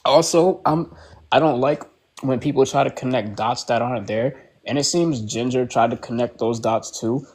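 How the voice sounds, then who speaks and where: A man panelist speaking calmly and defensively in a heated debate. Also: mid-range voice, normal speed.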